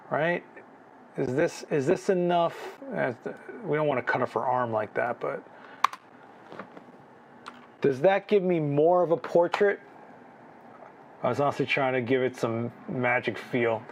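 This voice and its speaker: A man hosting a live photo critique, chatting casually, thinking aloud with restarts and asking "right?", his voice a bit worn. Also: a little high. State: losing his voice. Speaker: photography livestream host.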